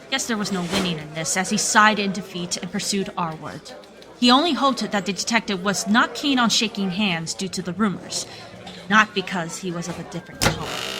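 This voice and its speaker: A woman narrating a scripted radio drama in a storytelling style. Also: mid-range voice. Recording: music and crowd sounds behind. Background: music and crowd bed.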